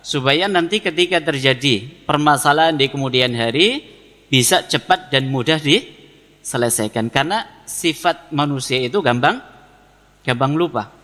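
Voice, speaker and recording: Male Islamic preacher, Javanese Indonesian accent, fairly high pitch, didactic question-and-answer delivery, long hall reverb. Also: lively intonation, normal speed, pushed and bright. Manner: didactic, asks and answers own questions, repeats phrases. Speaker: Islamic preacher teaching a congregation. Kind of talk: religious lecture, reading Arabic text then explaining it.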